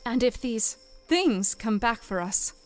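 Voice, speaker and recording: Actress as plucky young barmaid, fairly high voice, earnest, stubborn, fantasy audio play, soft music behind. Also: female voice, expressive, slow. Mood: determined. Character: bold, determined young barmaid setting out adventuring. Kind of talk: fantasy audio drama acting.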